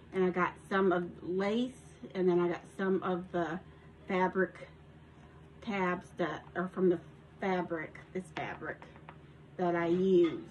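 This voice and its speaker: A woman's voice: fairly low pitch, darkish tone, highs cut off, a little hiss or hum. A woman with a Southern accent chatting casually while showing off a handmade journal in her home craft room, rambling with restarts and little giggles. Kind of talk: casual show-and-tell vlog. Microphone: phone.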